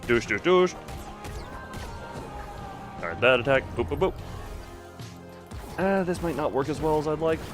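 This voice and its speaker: A man's voice: fairly high pitch, animated, a little slow, long pauses, soft background music. A man live-streaming a video game with casual commentary and chat with viewers, rambling in half-finished thoughts with playful sound words.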